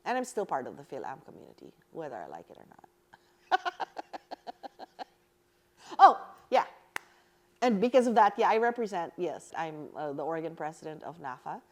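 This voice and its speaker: Interview guest: woman from the Philippines, middle-aged, low register, earnest and animated storyteller. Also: normal speed, pauses often. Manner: earnest, animated, laughs easily. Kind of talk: reflective personal storytelling.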